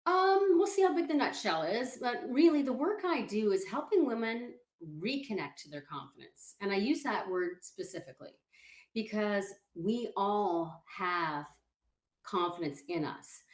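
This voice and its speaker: A woman confidence coach, speaking as an interview guest over a video call and calmly walking the listener through exercises. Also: medium pitch, unhurried, roomy sound. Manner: calm, explanatory, walks the listener through exercises. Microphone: video call setup.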